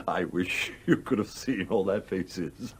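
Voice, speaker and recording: Ghost of a sea captain, low animated voice, hearty, mischievous, blustering, radio drama. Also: male voice, takes it slowly. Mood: amused, teasing. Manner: hearty, salty exclamations. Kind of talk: radio play acting. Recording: noisy radio play recording.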